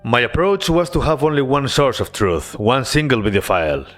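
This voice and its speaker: Male developer, mid-pitched, earnest devlog narration over soft music. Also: normal speed. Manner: earnest, relaxed storytelling with casual asides. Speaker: indie game developer.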